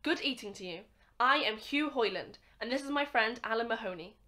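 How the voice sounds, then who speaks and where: A woman's voice: fairly high pitch, animated, fairly quick, sharp tone. A woman acting an earnest, formal young male cadet scientist in an amateur radio drama.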